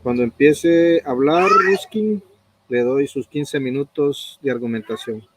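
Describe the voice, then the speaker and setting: A man moderating an online debate, speaking slowly and deliberately with fillers. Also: medium pitch, heavy background noise.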